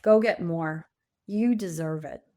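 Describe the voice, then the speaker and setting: A woman's voice: lowish voice, slow pace, mellow. Woman in her fifties, encouraging and emphatic. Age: 50s. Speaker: podcast guest, coach and speaker. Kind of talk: conversational interview answers.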